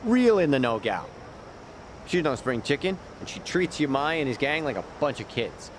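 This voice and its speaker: An actor playing a streetwise, easygoing ex-gangster guide in a video game, explaining things in casual slang. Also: male voice, on the high side, very animated, normal speed, background noise. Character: streetwise, easygoing male gang deserter and local guide in a game.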